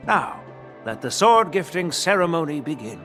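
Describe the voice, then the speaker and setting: An actor playing a dignified elderly grandfather and general in a video game, formal, measured and authoritative. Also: a little high, very animated, a bit bright, soft background music. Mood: solemn, formal.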